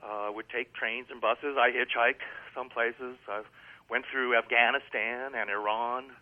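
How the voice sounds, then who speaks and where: An older American man, a guest author in a phone interview, talking in an animated, emphatic, rambling way with many fillers. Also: mid-range voice, a little slow. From United States. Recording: tinny phone line.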